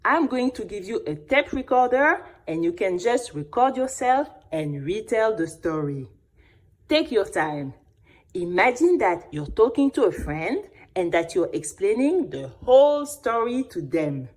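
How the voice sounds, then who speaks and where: A woman playing a patient male journalist in an audio drama, speaking in a calm, encouraging manner. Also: mid-range voice, a little slow. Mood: reassuring.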